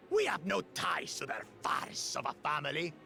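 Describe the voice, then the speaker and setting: A man voicing a boastful, two-headed lizard-man brute in a video game, gravelly and mocking, with theatrical pomp. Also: a little high, wildly swooping pitch, measured pace, bright, forceful tone, soft background music, heavily acted. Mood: arrogant, proud.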